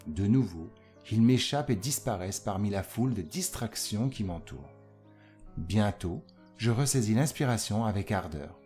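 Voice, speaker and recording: A man voicing a famous composer describing his musical inspiration in a formal, measured, literary way. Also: lowish voice, lively intonation, a little mellow, faint music behind, natural voice. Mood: fervent. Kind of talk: dramatised reading of a quotation.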